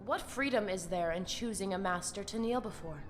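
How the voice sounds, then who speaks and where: An actress playing a proud, scornful, bloodthirsty vampire warrior in a video game, with haughty, declamatory delivery. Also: female voice, mid-range voice, moderate pace, lightly acted. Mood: scornful, defiant. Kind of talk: dramatic video game acting. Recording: long hall reverb, music underneath. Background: music.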